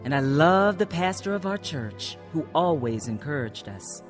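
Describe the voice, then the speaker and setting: A woman's voice: low voice, moderate pace, loud music behind the voice. An actress playing an earnest woman telling of her troubled youth in a radio drama. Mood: reflective. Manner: earnest, expressive. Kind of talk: first-person radio drama narration and acting.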